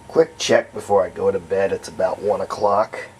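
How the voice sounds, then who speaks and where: A man's voice: deep voice, fairly even intonation, normal speed, a little mellow, a little hiss or hum. A man giving a casual, unscripted how-to narration as a hobbyist restorer, folksy and rambling as he thinks aloud. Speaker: hobbyist restorer presenting a repair.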